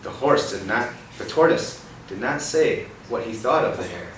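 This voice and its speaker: A young man reading a picture book aloud to a child, pausing often to ask gentle questions about the words. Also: on the low side. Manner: expressive storybook reading broken by gentle teaching questions. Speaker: read-aloud host. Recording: roomy, distant mic with background noise.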